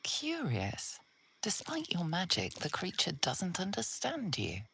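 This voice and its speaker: A woman playing an ominous, knowing storyteller, giving measured, hushed, dramatic narration for a video game. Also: fairly low pitch, wildly swooping pitch, exaggerated voice. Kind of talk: dramatic second-person scripted narration. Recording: game narration over clear music.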